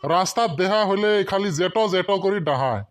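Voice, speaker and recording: A man's voice: high voice, animated, fairly quick. A man voicing a stingy, grumbling village husband in a comic cartoon, scolding. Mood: complaining. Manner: complaining, scolding.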